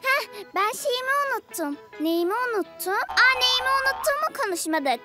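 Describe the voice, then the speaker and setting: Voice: extremely high pitch, very animated, normal speed, loud music behind the voice. A performer voicing a forgetful, easily flustered visitor in a children's cartoon. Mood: flustered, chirpy.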